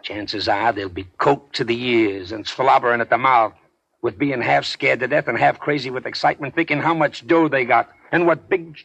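An actor playing a rueful small-time gangster in a 1940s radio drama, husky and gravelly, rambling in a folksy, confiding way. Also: male voice, medium pitch, fairly bright tone, highs cut off. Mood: uneasy.

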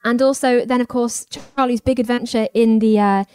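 A woman's voice: fairly high pitch, steady tone, moderate pace, darkish tone, clear, resonant tone, no echo. A woman interviewing an author in a chatty, upbeat way. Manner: chatty, upbeat, laughs along. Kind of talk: interview questions.

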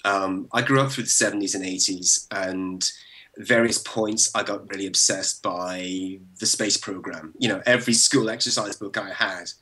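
A man in his forties from Belfast with a Northern Irish accent, thinking back as a podcast guest, with frequent restarts and soft laughs. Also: low-pitched, steady tone, normal speed, poor audio. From Northern Ireland. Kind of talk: relaxed interview over a call.

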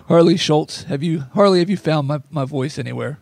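Hoarse guy hosting a sports podcast, gravelly and kinda high, rambling, dry close mic. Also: a little fast, a little mellow, no echo. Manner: rambling, self-deprecating. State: hoarse, losing his voice. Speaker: podcast host. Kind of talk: casual sports podcast chat.

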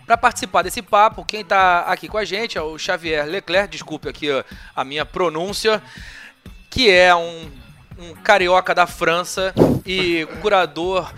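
Bright, energetic podcast host. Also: male voice, high-pitched, unhurried, soft background music. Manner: exuberant greeting, lively and loud.

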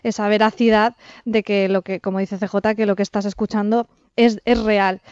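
A woman's voice: mid-range voice, level tone, moderate pace, darkish tone, clear, resonant tone, no echo. A woman with a Castilian Spanish accent chatting casually as a panelist in a discussion. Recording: dry, slightly dull sound.

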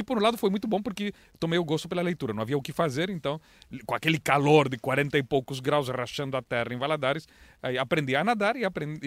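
Lively Brazilian man with a mixed accent. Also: no echo. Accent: mixed Brazilian Portuguese. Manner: animated storyteller, lively pitch jumps. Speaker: guest, international correspondent. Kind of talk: casual interview chat.

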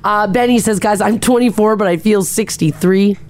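A woman's voice: medium pitch, moderate pace, darkish tone. A woman co-hosting a podcast, reading listener texts aloud in easygoing chat. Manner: easygoing, reads messages aloud. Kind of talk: casual chat.

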